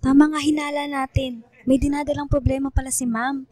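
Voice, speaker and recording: A woman acts out a tender, sincere schoolgirl for a radio drama. The voice is high and softly mellow, recorded dry and close, and she speaks in a heartfelt, earnest way. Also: little pitch movement, fairly quick, no room sound, natural voice. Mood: troubled, sympathetic. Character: Lia, earnest, tender schoolgirl grateful to her teacher. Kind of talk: radio drama dialogue and heartfelt letter reading.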